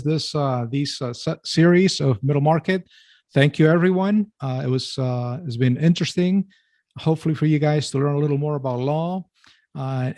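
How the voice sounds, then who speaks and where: A man co-hosting a business podcast in casual chat, friendly and quick to joke. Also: on the high side, a little mellow, no room sound, clean studio sound. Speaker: podcast co-host.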